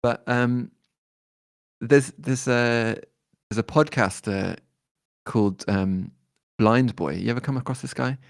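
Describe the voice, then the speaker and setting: A man, talking as a guest musician in a relaxed interview, thoughtful and hesitant with many fillers and restarts. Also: mid-range voice, takes it slowly, gravelly, long pauses, no echo. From born in Detroit, raised in London.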